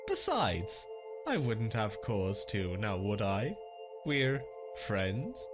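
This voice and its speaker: A male actor playing a sinister, fawning castle physician in a dark fantasy audio drama, unctuous and deliberate. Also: on the low side, wildly swooping pitch, over music, full character voice. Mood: feigned friendliness. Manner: unctuous, deliberate, chuckling menace.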